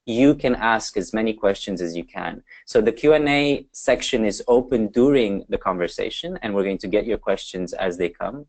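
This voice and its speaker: A man's voice: on the low side, darkish tone. Upbeat man moderating a webinar. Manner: upbeat, lots of fillers. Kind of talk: webinar panel hosting. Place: Amsterdam.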